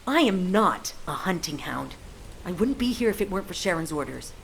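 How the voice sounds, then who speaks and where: An actress voicing a cold, proud agent in a video game, deliberate and cutting. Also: female voice, fairly low pitch, sing-song, vocal fry, a little hiss or hum. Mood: icy.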